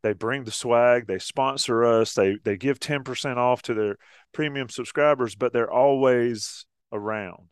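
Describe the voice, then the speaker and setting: A man with a smooth, resonant voice, chatting easily and laughing often as co-host of a college football pregame show. His voice is mid-pitched and sounds close and dry. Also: measured pace, no room sound. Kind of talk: casual promo chat.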